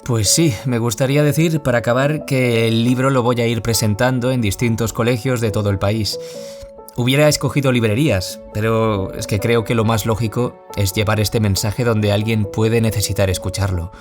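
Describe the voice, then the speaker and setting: Guy acting as a spanish author on a radio drama interview, serious and measured, music under him. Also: medium pitch, fairly even intonation, natural voice. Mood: earnest, heartfelt. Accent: Peninsular Spanish. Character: earnest adult male author, a survivor of school bullying.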